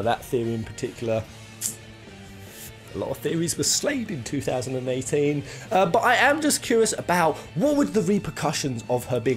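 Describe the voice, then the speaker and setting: A man hosting a countdown in a casual, informal, conversational way, speculating as he comments on video game lore. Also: fairly high pitch. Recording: music playing behind the voice. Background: game soundtrack music.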